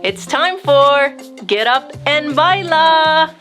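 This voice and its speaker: Bright, high-voiced woman reading to children. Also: faint music behind. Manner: expressive, measured delivery addressed to children. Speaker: children's storytime host. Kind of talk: picture book read-aloud for young children.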